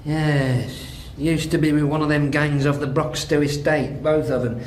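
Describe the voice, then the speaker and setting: Male police detective, acted, clipped, colloquial, gruff, radio drama, echoey room, faint noise. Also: fairly high pitch, steady tone, a little slow, darkish tone, lightly acted. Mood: brisk, dry. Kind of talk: naturalistic radio drama acting. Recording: roomy echo, faint noise.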